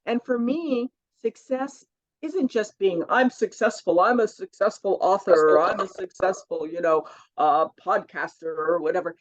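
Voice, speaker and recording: A woman author as a podcast guest, telling stories in a lively way with many fillers. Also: mid-range voice, measured pace. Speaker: children's book author and guest. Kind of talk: conversational podcast interview. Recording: dull lo-fi audio.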